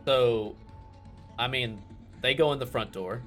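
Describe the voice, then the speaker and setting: A man's voice: medium pitch, a little slow, fairly bright tone. A man playing a tabletop roleplaying game, chatting casually and hesitantly as he thinks aloud. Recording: music playing faintly behind.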